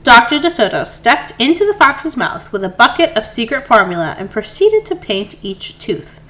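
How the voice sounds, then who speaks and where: Animated woman reading a storybook. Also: a little high, moderate pace, rough recording. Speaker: storybook reader. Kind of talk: expressive children's story read-aloud.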